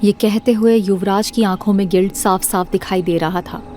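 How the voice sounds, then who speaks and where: A woman's voice: mid-range voice, steady tone, moderate pace, mellow, slight background noise. A woman reading scripted narration for an audio drama series.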